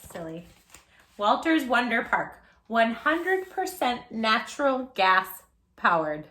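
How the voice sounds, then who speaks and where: A woman hosts a children's storytime in a fairly high voice. She reads expressively and playfully, speaking straight to her young listeners. The room around her is noticeably echoey. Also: takes it slowly. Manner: animated, playful, talks directly to young listeners. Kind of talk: expressive picture-book read-aloud for children.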